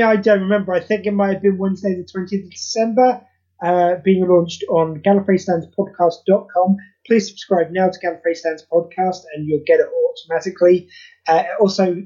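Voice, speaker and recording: A man's voice: high-pitched, steady tone, brisk, warm tone, dull audio. A man hosting a podcast, chatting casually and self-deprecatingly, rambling with many restarts. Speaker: podcast host and audio play creator.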